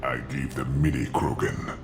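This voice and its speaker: A huge alien warlord scientist with an extremely low voice. He is fanatical and imperious, delivering grandiose, contemptuous pronouncements at a measured gait. Prominent game music plays behind him. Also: flat delivery, creaky, exaggerated voice. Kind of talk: video game voice acting.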